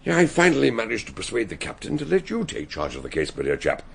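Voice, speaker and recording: A man's voice: fairly low pitch, huge pitch swings, fast, creaky, slightly rough, heavily acted. An actor playing a shrewd, genial older male detective in a radio drama, talking in a jovial way with hesitant fillers.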